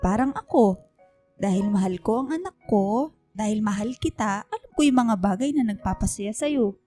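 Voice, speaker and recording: A gentle mother in poor health, acted by a woman, speaks in a soft-edged, mellow tone. Her delivery is tender and reassuring, in radio drama style with music behind her. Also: mid-range voice, lively intonation, normal speed, close to an everyday voice. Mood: warm, reassuring. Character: gentle ailing mother of a teenage daughter. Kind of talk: Filipino radio drama acting.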